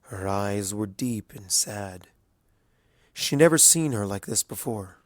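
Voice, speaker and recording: A man reading a dramatic story as narrator in a solemn, hushed, deliberate way. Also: on the low side, expressive, no echo, clean studio sound. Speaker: fan fiction narrator.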